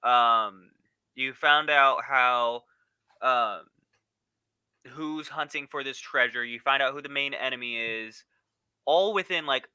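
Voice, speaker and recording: He has a very bright, crisp male voice recorded quite dry. He explains his point casually as a panelist. The delivery is halting and wanders off before circling back. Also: medium pitch, no echo. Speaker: podcast panelist.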